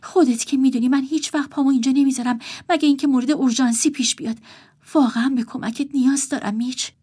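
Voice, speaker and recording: A woman's voice: high-pitched, fairly even intonation, dark tone, slightly rough, pitched above the performer's own voice. A woman voicing a desperate pleading young sister. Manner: pleading, earnest.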